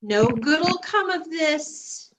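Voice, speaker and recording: A suspicious, firm mother played by a woman in a stage reading. Her voice is high and bright, and she takes her time over each line. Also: animated, extremely slow, full character voice. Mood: concerned. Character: watchful, suspicious mother of a young boy. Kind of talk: readers theatre performance.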